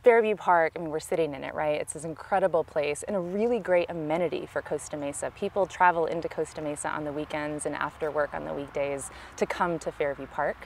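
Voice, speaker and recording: Low, even-toned Californian woman, some outdoor noise. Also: brisk, vocal fry, few pauses. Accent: Californian American. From California, United States. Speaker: city council candidate, interviewee. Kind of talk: conversational political interview answers. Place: outdoors in a park.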